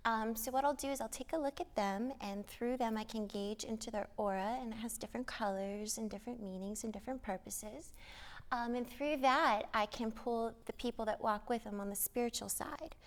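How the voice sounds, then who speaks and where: Higher-pitched woman explaining as interview guest. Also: fairly quick. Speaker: guest angel reader.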